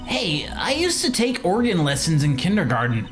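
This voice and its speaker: A man's voice: high voice, huge pitch swings, normal speed, over music. A man co-hosting a comedic game playthrough, playful and joking as he reads the characters. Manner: playful, jokey, laughing asides. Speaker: co-host. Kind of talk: comedic let's play banter and character dubbing.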